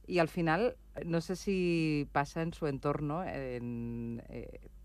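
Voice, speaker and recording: A woman interviewing a writer, asking scripted questions in a measured, composed presenter manner. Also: low voice, smooth, no echo. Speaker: radio interviewer.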